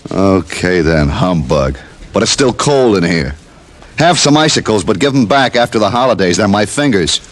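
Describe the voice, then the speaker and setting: An actor playing a put-upon, wisecracking clerk in a 1940s radio play. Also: male voice, on the low side, expressive, moderate pace, lightly acted. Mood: joking. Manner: wisecracking, easygoing. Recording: old radio with music.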